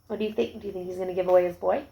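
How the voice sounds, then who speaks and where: A woman's voice: on the low side, fairly even intonation, racing, creaky, muffled recording. A woman narrating a children's storybook aloud, with asides and questions to young listeners.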